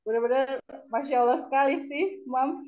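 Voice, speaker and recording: A woman hosting her first webinar over a video call, earnest and grateful, her voice slightly hoarse. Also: high voice, normal speed, darkish tone. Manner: earnest, grateful, self-deprecating. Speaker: first-time webinar host. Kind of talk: casual online chat. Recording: dull lo-fi call audio.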